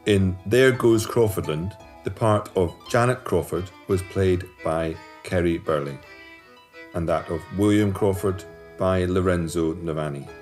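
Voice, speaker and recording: A man with a very deep voice works as an announcer, reading scripted drama credits. Soft plucked guitar music plays behind him. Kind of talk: scripted narration.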